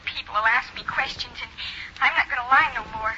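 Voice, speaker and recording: Scared wife old radio play, high super tinny sharp voice, pleading, hesitant, muffled recording. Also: female voice, fairly quick. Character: scared, anxious wife of a wounded criminal, phoning. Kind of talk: 1950s radio drama acting. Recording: dull noisy 1950s radio recording.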